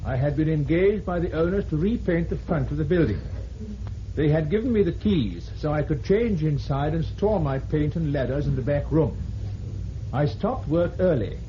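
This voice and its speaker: A man's voice: fairly high pitch, close to an everyday voice. A man playing a formal British Crown Prosecutor in a vintage radio drama, reading aloud to a court in a declamatory way. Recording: muffled old radio recording, faint hiss.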